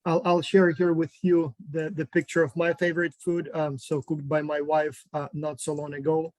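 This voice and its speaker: A man's voice: on the high side, level tone, moderate pace, a little mellow, no echo, poor audio. A man from Ukraine chatting casually on a video call, hesitant with many ums and restarts. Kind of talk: casual online group chat.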